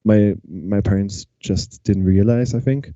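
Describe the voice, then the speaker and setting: A man with an Austrian German accent, talking in English with a very dark, mellow tone and a lowish pitch. His sound is dull and lo-fi, and it is dry and close. He chats loosely, restarting his sentences often. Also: fairly even intonation, measured pace, dry recording. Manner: chatty, frequent restarts and fillers. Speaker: guest musician. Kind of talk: casual interview chat.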